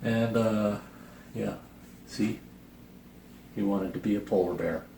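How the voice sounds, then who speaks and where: A middle-aged man reads and chats about a children's book at a very leisurely pace, in a fairly low voice. His humor is dry and wry. The room echoes around him and some noise sits behind his voice. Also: steady tone, takes it slowly, mellow. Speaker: host reading and reviewing a children's picture book. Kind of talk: casual storybook reading and review chat. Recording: roomy echo, noticeable background hiss.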